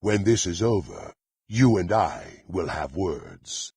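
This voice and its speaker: A haughty, power-hungry dark warrior for a fantasy video game. His low, rasping male voice swings dramatically in pitch as he delivers threats in lofty, old-fashioned phrasing. He sounds violent, proud and utterly menacing. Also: very animated, takes it slowly, exaggerated voice. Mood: cold menace. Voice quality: gravelly, raspy. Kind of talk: theatrical fantasy game voice acting.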